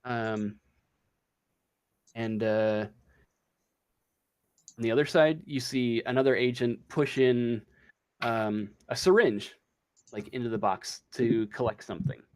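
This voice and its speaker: A man narrating a tabletop role-playing game as game master, improvising scenes in a halting, hesitant way. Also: medium pitch, smooth. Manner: halting, hesitant, feeling for words.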